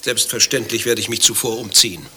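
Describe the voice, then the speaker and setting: An actor playing a prim, touchy, nervous gentleman visiting a zoo in a radio play, fussy and stiffly formal in his speech. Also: fairly low pitch, a little fast, a little hiss or hum. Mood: stiff, defensive.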